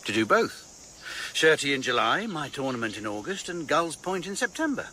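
An actor playing a charming, placating husband in a radio drama, coaxing and soothing. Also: male voice, fairly high pitch, big pitch swings, moderate pace, bright, forceful tone, a little hiss or hum.

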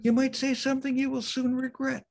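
A man's voice: extremely high pitch, level tone, fairly quick, creaky. A man acting an exasperated, weary newlywed lawyer husband in a comedy scene, stammering and repeating himself when flustered. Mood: exasperated, reasoning.

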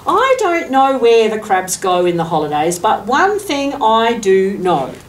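Woman, 60s, Australian, storytime reader for small children; mid pitch, off-mic room sound with light noise. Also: very animated, a little slow. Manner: addresses young children, explaining pictures and asking questions. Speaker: mayor reading a picture book to children. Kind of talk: children's storytime read-aloud with asides. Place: furnished office room indoors, seated. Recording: distant microphone, noticeable room background noise.

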